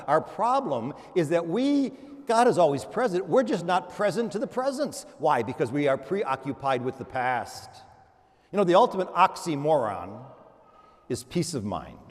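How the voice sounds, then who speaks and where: An older American man preaches a homily in a reverberant church. His voice sits fairly high and moves through wide swings of pitch, with emphatic repetition, rhetorical questions and dramatic pauses as he teaches and tells stories. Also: darkish tone. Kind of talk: homily with storytelling.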